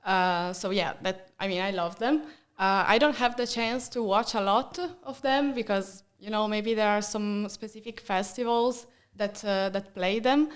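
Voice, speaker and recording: A woman's voice: medium pitch, a little slow. A young woman with an Italian accent, a podcast guest chatting casually with many fillers and small laughs.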